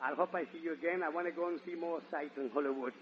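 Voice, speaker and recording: A man's voice: on the high side, fairly even intonation, fast talker. A man giving a theatrical, playful comic monologue as a guest on a 1940s radio show. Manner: theatrical, playful, breaking into sung syllables and shouts. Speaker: guest comedian. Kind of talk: comic musical monologue to a live audience. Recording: noisy, muffled 1940s radio broadcast.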